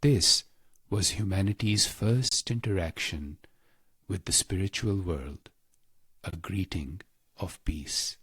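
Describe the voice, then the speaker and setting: A man with a husky, breathy voice guides a scripted meditation in short, deliberate phrases, solemn and devotional. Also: deep voice, a bit bright, no echo. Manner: slow, deliberate, pausing between short phrases.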